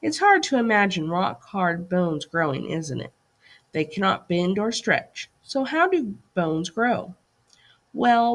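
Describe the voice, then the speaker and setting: A woman reading a scripted educational lesson aloud as a teacher, in an instructive way that poses questions to young listeners. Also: mid-range voice.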